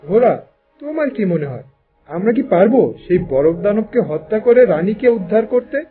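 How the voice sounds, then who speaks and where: A determined cartoon peasant, played by a man, with a high-pitched voice. He sounds earnest and respectful, an obedient farmer ready to serve. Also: lively intonation, a little fast, narrow, tinny audio, soft background music. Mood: eager. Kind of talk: Bengali cartoon dubbing.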